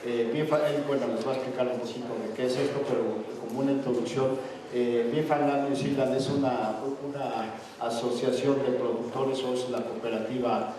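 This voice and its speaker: A man's voice: medium pitch, level tone, normal speed, background noise. A man introducing a conference speaker, full of fillers and repeated words. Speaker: event host introducing a speaker. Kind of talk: spoken introduction. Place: large conference hall. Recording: echoey hall from far off.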